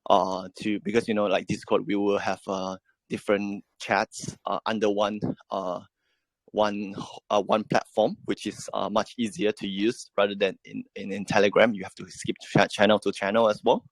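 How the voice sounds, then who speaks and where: A man whose first language is Japanese, answering questions in an online AMA as a project team member, halting and full of fillers. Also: lowish voice, little pitch movement, gravelly, dry recording, low-quality recording. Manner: halting, many fillers and restarts.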